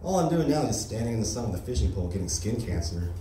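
A young American man doing a casual stand-up set on a small club stage, with a deadpan delivery and frequent "right?" and "you know?". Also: lowish voice, rapid-fire, a little hiss or hum. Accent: General American. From United States. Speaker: stand-up comedian. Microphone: handheld mic on stand. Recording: roomy club sound from a far camera.

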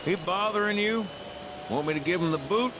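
Cocky pilot captain, lively swooping voice. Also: male voice, high voice, fairly quick, background noise. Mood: easygoing, brisk. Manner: folksy, boastful, colloquial drawl of phrasing. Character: cocky, brash middle-aged male pilot and ship captain. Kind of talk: video game voice acting.

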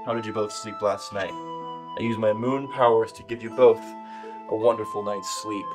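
A young man playing a gallant, drowsy moon knight in children's theater, with a theatrical, deliberate manner. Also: lowish voice, steady tone, close to an everyday voice. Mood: concerned. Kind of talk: children's readers' theater, reading from script. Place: indoor costumed stage set, camera filming. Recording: music over the voice.

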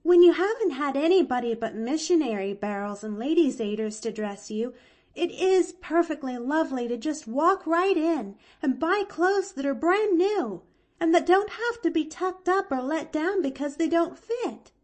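A woman's voice: high-pitched, animated, moderate pace, pitched above the performer's own voice. A woman voicing a cheerful, earnest young orphan girl who chatters eagerly in an audiobook story. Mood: delighted. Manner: bright, eager, emphatic chatter. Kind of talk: expressive audiobook character reading.

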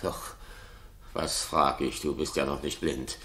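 A man's voice: extremely low pitch, little pitch movement, slow, sharp tone, full character voice. An actor playing a jealous, paranoid king in a 1960s German radio play, brooding and theatrical, moving between muttering and outbursts. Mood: insinuating.

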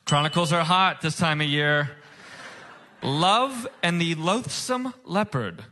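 A man's voice: on the high side, animated, takes it slowly, sharp tone. A man hosting a comedy game show on stage, with a showy, emphatic announcer delivery. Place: stage before a live audience.

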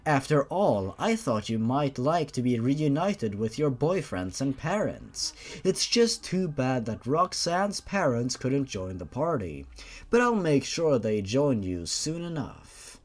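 A sadistic young killer girl, acted out by a man, taunting and menacing in a horror story. The delivery swings widely in pitch as she gloats and threatens. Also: very animated, slow pace. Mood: gloating menace. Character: sinister sadistic young female killer creature, gleeful and growling.

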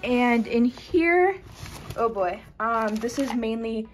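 A woman's voice: fairly high pitch, animated, takes it slowly, faint music behind. Chatty young woman giving a toy tour. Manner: chatty, with frequent ums and trailing off. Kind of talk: casual tour narration. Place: bedroom with a toy stable on a dresser. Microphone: phone camera held by the speaker, off screen.

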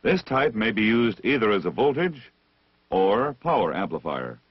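A man reading scripted technical instruction as the narrator of a vintage military training film, measured and deliberate, on a dull old film soundtrack. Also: on the low side, big pitch swings, breathy edge, no room sound. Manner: measured, didactic, deliberate enunciation.

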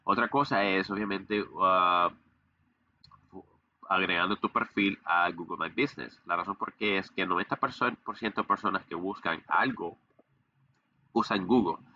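A man's voice: low-pitched, a little slow, pushed and bright, dull audio. A young man who runs a digital marketing agency, giving a casual screen-share tutorial with lots of fillers and restarts, recorded on a webcam. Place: indoor room, webcam in corner of screen recording.

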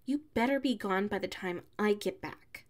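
A woman voicing a prickly, haughty young tsundere classmate with a hidden soft side, in an ASMR audio roleplay. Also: medium pitch, close to an everyday voice. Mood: indignant, huffy. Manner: haughty, huffy, sighing, drawn-out phrasing.

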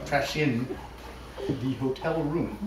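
Very slow, theatrical man, recorded from afar. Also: mid-range voice. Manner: theatrical, deliberate, with long pauses. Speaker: talent show performer. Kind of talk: mock-serious dramatic reading for an audience. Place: indoors, living room. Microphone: far camera. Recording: distant camera, roomy echo, noisy background.

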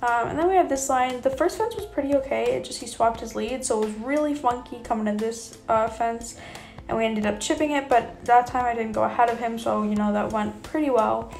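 A young woman talks through her vlog in a casual, informal way. Her voice sits a little high, and she hedges as she chats. Light music plays in the background. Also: a little fast, gravelly, darkish tone. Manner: chatty, informal, hedging with kinda and you know. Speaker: horse rider and vlogger.

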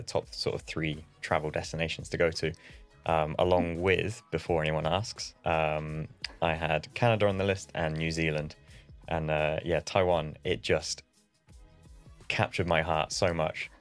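A man in his thirties hosting a casual livestream over a video call from a home room, halting with many ums. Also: a bass voice. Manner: hesitant, many ums and uhs. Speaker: livestream host. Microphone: studio mic on arm, video call.

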